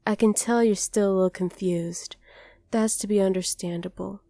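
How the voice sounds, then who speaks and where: A woman voicing a haughty, possessive dragoness captor in an ASMR roleplay, speaking to the listener in a condescending, deliberate way. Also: mid-range voice, steady tone, warm tone, no echo, clean studio sound, lightly acted. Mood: condescending, coaxing.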